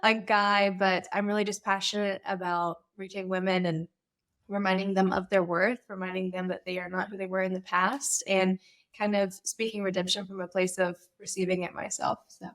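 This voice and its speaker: A woman's voice: mid-range voice, little pitch movement, normal speed, a bit bright. Playful young woman podcast guest. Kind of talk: casual podcast conversation.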